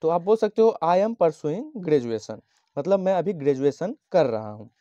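A man from Bihar teaching a lesson, explaining slowly and patiently and repeating each phrase as he dictates sample lines. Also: a little high, sing-song, warm tone, no room sound. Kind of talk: handwritten English lesson explained in Hindi. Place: at a desk, writing on a notebook page. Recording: dull, lo-fi dry sound.

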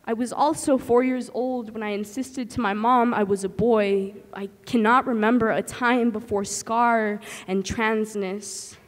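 A young transgender man performing spoken word poetry at an open mic, with a rhythmic, impassioned delivery that swings between hushed and loud. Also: sits high, fairly even intonation, unhurried, hardly any pauses, in a large hall. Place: stage in a community hall, indoors. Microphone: stand mic on stage.

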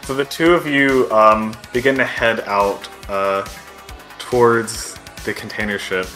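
A man improvising descriptive, halting narration as game master of a tabletop roleplaying game. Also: fairly low pitch, steady tone, bright, forceful tone, soft background music.

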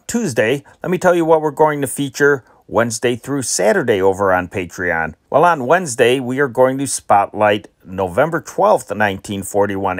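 A man's voice: on the low side, dry recording. Man hosting in a very dry sound. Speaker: host of an old-time radio channel. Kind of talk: casual scripted announcement to listeners.

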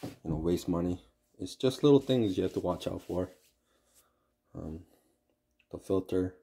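A man casually explaining a home heater repair, halting with fillers and restarts, recorded on a phone in a basement utility room. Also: low voice, animated, creaky, dark tone, breathy edge. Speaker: homeowner showing a furnace repair. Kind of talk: casual how-to video. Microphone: phone camera held close.

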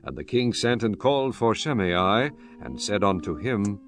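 A man's voice: lowish voice, animated, normal speed. A man giving scripted narration for a dramatized Bible recording, telling the story in a solemn, formal manner. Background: soft background music.

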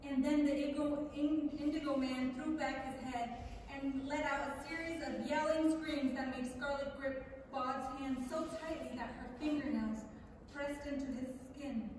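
A woman's voice: sits high, steady tone, moderate pace. A woman narrating a scripted story as a staged reading in a theatre. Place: large theatre stage, indoors. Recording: echoey theatre hall, distant, faint noise.

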